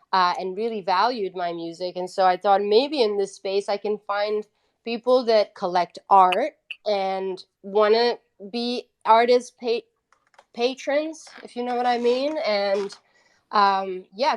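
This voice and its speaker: Woman chatting casually. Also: medium pitch. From Italy. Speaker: guest, independent musician. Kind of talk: casual conversational storytelling.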